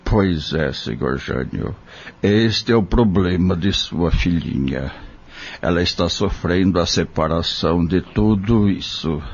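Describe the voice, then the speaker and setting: A kindly Brazilian doctor played by a man in an audio drama, counselling patiently. His voice is low and unhurried, with lively swings in intonation as he gently explains. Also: husky, exaggerated voice. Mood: caring, reassuring. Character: kindly male doctor, patient, caring spiritist. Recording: dull lo-fi audio.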